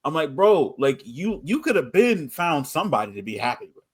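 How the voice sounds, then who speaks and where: A man with a slightly high voice chats casually as a podcast host. He is mocking and emphatic, and he hammers his phrases by repeating them. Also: big pitch swings, fairly quick, husky. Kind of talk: casual chat.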